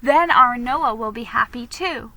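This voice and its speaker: A woman's voice: a little high, measured pace, sharp tone, no room sound, voice pushed up. A woman voicing an earnest, protective young girl, a hopeful big sister in a storybook. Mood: hopeful, resolute.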